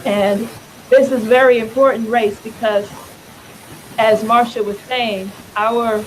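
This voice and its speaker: A hoarse, raspy-voiced woman speaking to a room as a political candidate. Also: a little high, fairly even intonation, measured pace, a little hiss or hum, low-quality recording. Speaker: congressional candidate. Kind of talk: campaign speech.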